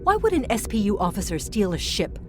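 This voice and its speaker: Sharp, impatient starship crewwoman, animated. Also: mid-range voice, a little fast, music in the background. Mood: grimly reasoning. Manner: forceful, exasperated, clipped. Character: sharp, impatient woman spaceship crew member, commanding, sarcastic. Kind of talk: audio drama acting.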